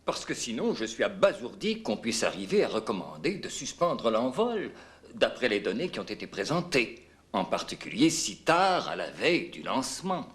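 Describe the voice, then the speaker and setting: Disapproving agency official, medium pitch, very varied intonation, formal, docudrama. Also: male voice, big pitch swings, normal speed, fairly bright tone. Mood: appalled. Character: appalled, disapproving male space agency official. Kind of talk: dubbed docudrama acting.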